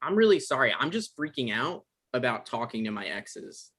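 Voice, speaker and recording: A man acts out an anxious, defensive man in a drama read. His delivery is naturalistic and flustered, full of stammers and apology, at an ordinary middle pitch. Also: very animated, normal speed, a bit bright, husky. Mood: flustered, apologetic. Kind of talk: live table read acting.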